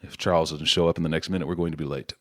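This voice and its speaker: A man's voice: a bass voice, fairly even intonation, very fast, dry recording, clean studio sound. An actor playing a middle-aged politician father in an audio play, persuasive and defensive, talking down to his grown daughter. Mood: anxious. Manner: condescending, self-justifying.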